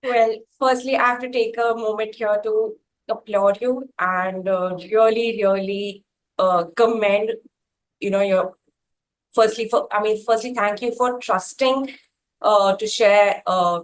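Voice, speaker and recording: A woman hosting a conversational interview, speaking effusively in halting phrases with repeated words for emphasis. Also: medium pitch, fairly even intonation, low-quality recording. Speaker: interviewer, host.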